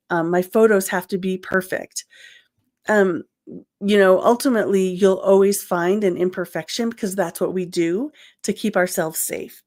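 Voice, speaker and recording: A middle-aged woman with a stuffy, nasal voice talks through a solo podcast episode. She sounds earnest and encouraging, often pausing on an um or restarting a phrase. Her pitch sits in an ordinary middle range. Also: a little mellow. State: congested, nasal from a cold. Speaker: podcast host and crochet business coach.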